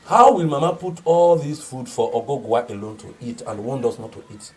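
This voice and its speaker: A man's voice: mid-range voice, expressive, a little fast, natural voice. An actor playing a defiant, greedy young man in a film drama. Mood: defiant, smug. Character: defiant, greedy young person eating forbidden food.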